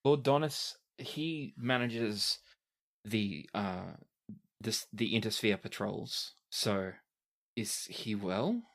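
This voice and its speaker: A man's voice: fairly low pitch, takes it slowly, gravelly, fairly bright tone, heavily acted. A man voicing a dazed, courteous young fanged man just brought back to life, hesitant and bewildered, in a tabletop game. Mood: hesitant, concerned. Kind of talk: improvised tabletop roleplay.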